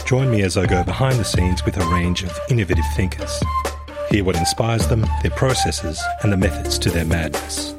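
Deep-voiced podcast host. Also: male voice, moderate pace, loud music behind the voice. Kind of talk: scripted intro then casual chat. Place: recording studio.

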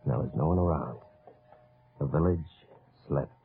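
A man's voice: a bass voice, a little slow. An actor playing an American army captain who narrates his mission behind enemy lines in an old radio drama. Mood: hushed, suspenseful. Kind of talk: 1950s radio drama acting. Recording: muffled old radio recording, music behind.

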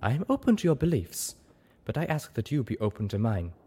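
A man voicing a calm, observant female zebra in an audiobook story, speaking frankly in measured, formal phrases. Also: medium pitch, breathy edge, pitched above the performer's own voice.

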